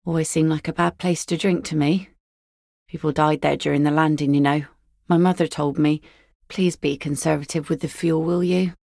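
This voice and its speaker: A woman's voice: low voice, vocal fry, a little mellow, dry recording. An actress voicing a weary working-class fisherwoman in a game, blunt and matter-of-fact. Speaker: game character voice lines. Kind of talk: video game voice acting. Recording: dry close studio recording.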